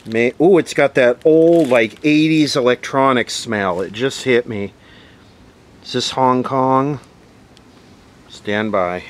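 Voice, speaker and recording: A man's voice: mid-range voice, slow. A man giving casual, unscripted hands-on commentary, rambling a little as he thinks aloud. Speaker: vlogger demonstrating vintage radio equipment.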